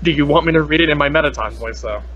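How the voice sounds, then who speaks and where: A man's voice: a little high, rapid-fire, a bit bright, lo-fi sound. A young man chatting casually as a podcast host, with a playful, silly, teasing manner. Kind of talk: casual joking chat. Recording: noisy internet call recording.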